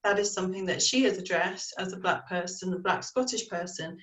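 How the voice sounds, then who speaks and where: A middle-aged English woman speaking on a panel, candid and measured as she reflects. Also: lowish voice, a bit bright. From England. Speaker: panelist, community organiser. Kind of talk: panel discussion. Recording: echoey room.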